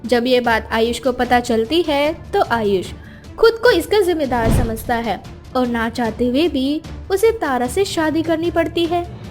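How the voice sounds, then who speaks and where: A woman's voice: high voice, lively intonation, a little slow, a little mellow, soft background music. A woman narrator reading a scripted story in a dramatic storytelling style.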